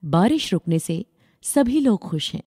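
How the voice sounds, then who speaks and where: Woman reading a kids story, soft mellow voice, really animated, close dry sound. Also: mid-range voice, a little slow, husky, no room sound. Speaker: narrator. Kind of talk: scripted children's story narration.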